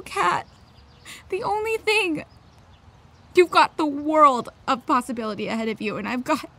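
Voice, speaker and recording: A woman playing an upset young woman who feels like a failure, speaking emotionally and haltingly in a naturalistic audio drama. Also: extremely high pitch, pushed and bright, no echo, full character voice.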